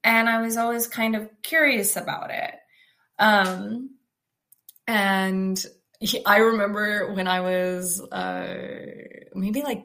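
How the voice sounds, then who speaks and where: A young American woman with a loud, outspoken voice. She tells her personal story casually, in a chatty flow full of fillers and restarts. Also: mid-range voice. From United States.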